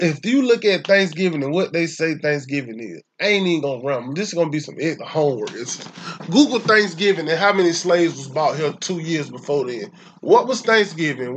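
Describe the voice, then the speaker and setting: Profane Atlanta man with a raised voice. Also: a little high. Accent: Southern US (Atlanta). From Atlanta, Georgia, US. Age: young adult. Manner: profane, rambling, emphatic. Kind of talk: casual conversation.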